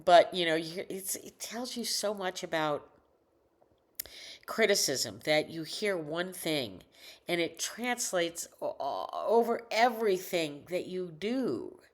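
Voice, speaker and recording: An older woman with a low alto voice talking casually into a desk microphone at home, rambling, halting often and correcting herself mid-sentence. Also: sing-song. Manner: rambling, halting, many restarts and self-corrections. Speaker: vlogger. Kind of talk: casual personal monologue.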